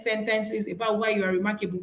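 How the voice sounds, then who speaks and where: A woman's voice: mid-range voice, flat delivery, fast talker, roomy sound. A woman with a Nigerian accent, whose first language is Yoruba, giving an earnest, encouraging workshop talk with slides, often restarting her phrases. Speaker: speaker.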